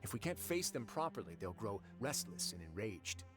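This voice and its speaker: A calm, wry prison warden. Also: male voice, lowish voice, faint music behind. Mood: composed. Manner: calm, measured, lightly wry. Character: composed male prison warden, wry and authoritative.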